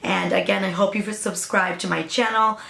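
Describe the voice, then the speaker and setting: A young woman with a raspy voice, chatting casually through a makeup tutorial as a new beauty vlogger. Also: mid-range voice, steady tone, normal speed, fairly bright tone, roomy sound. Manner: chatty, hesitant, with fillers and apologies.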